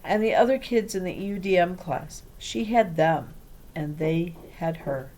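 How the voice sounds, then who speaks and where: A woman reading a children's storybook aloud in an expressive way. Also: on the low side, measured pace, low-quality recording. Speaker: read-aloud reader.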